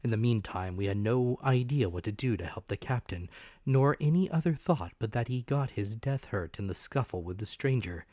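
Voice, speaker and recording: A man's voice: mid-range voice, lively intonation, moderate pace, dark tone, no room sound, studio quality. A man giving a dramatic narrated reading of a classic adventure novel. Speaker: audiobook narrator.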